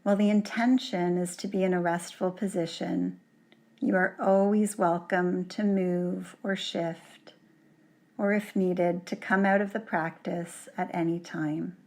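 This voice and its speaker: A woman yoga teacher leading a guided relaxation in a gentle, soothing manner, leaving long pauses between short phrases. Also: fairly low pitch, fairly even intonation. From Canada.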